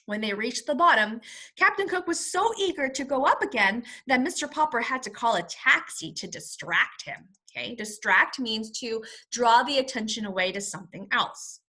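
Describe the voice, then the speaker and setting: A woman with a bright, fairly high voice reads to first graders like a teacher. Her pitch moves around a great deal as she tells the story and explains words in simple terms. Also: lively intonation, moderate pace. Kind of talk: read-aloud lesson for young children with word explanations.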